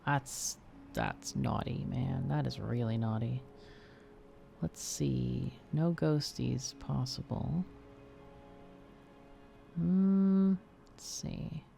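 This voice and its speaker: A woman's voice: very deep voice, extremely slow, vocal fry, pauses often, dark tone, soft background music. A woman chatting casually and thinking aloud as she plays a game on a livestream. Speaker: streamer and game player. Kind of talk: casual livestream gameplay commentary.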